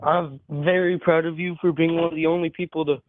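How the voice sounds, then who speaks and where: A man's voice: on the high side, level tone, fairly quick, no echo. A man calling in to a live show, chatting casually over a thin call connection. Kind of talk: casual chat. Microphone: phone or online call. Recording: thin phone-like call audio.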